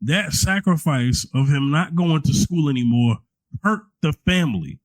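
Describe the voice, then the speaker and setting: A man's voice: fairly high pitch, lively intonation, unhurried, no echo, low-quality recording. A man co-hosting a podcast, giving advice conversationally and emphatically, repeating phrases to build his point. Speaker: podcast co-host.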